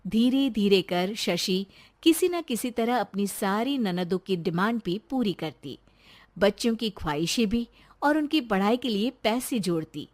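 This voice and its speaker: A woman's voice: medium pitch, animated, measured pace. A woman telling a scripted story as narrator, in the manner of a storyteller who also voices characters.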